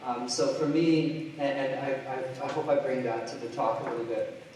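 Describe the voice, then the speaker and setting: A middle-aged man from Trinidad and Tobago giving a conference keynote, halting often with fillers and restarts. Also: mid-range voice, steady tone, a little mellow, slight background noise. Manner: hesitant, many fillers and restarts. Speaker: keynote speaker. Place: large lecture hall. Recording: distant mic in an echoey hall.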